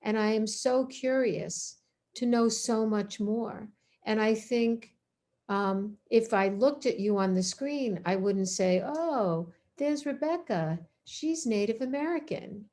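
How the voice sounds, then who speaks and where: A woman's voice: on the high side, slow pace. A woman speaking as a panelist on a video call, chatty, breaking off and restarting her thoughts. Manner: warm-hearted, chatty, self-interrupting. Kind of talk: casual panel discussion.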